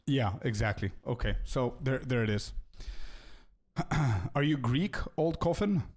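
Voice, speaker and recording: A young man streaming a video game and chatting casually with viewers, loose and friendly, often calling them bro and repeating words as he thinks out loud. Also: mid-range voice, expressive, slow pace, breathy edge. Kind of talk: casual live-stream chat, answering viewers.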